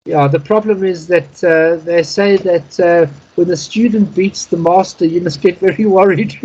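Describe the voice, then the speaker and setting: A man leads an informal practice class over an internet call. His voice is husky and he explains things methodically, item by item. The sound is somewhat muffled. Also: high-pitched, steady tone, normal speed, a little mellow. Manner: methodical, explanatory, spelling in phonetic alphabet. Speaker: Morse code instructor. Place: online video call.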